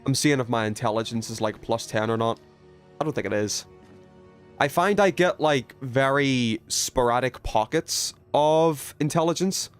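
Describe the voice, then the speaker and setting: A man's voice: mid-range voice, normal speed, clear, resonant tone, soft background music. A man hosting a video game livestream, rambling and thinking aloud as he chats casually with viewers. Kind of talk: casual gaming stream chat, reading viewer messages.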